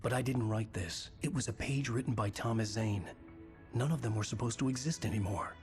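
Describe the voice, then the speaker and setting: An actor playing a troubled, determined thriller writer, narrating in a terse, somber first-person noir style for a video game. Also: male voice, medium pitch, brisk, lightly acted. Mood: brooding, resolute. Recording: loud music under the voice.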